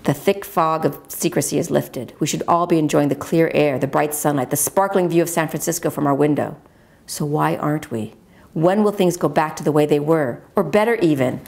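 A middle-aged woman with an American accent reads literary memoir aloud. Her voice sits very low and has a lot of fry. The delivery is measured and earnest, as an author reading her own work. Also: darkish tone. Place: at a wooden table in a home dining room.

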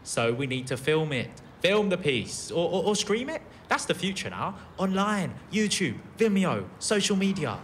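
A man's voice: high voice, sing-song, moderate pace, hardly any pauses, a bit bright. An actor playing a blunt, laid-back young man behind a camera in an audio drama, casual and matter-of-fact, with a British accent. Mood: blunt, insistent. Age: young adult. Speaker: actor in a fiction podcast. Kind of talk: naturalistic audio drama dialogue. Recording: faint ambient background noise.

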